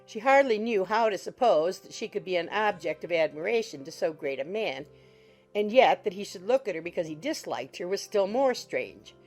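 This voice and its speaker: A woman's voice: mid-range voice, lively intonation, moderate pace, low-quality recording. A woman reading scripted literary narration as an audiobook narrator. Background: soft music.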